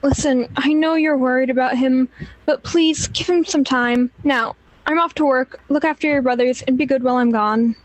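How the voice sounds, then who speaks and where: A woman playing a hurried, pleading working mother in an amateur radio play. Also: high-pitched, steady tone, no echo, lightly acted, poor audio.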